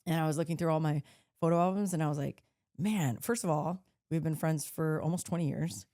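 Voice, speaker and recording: A woman with a deeper voice co-hosting a podcast, chatting casually, reading out listener messages and laughing as she swears playfully. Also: a little fast, warm tone. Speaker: podcast co-host. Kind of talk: casual chat.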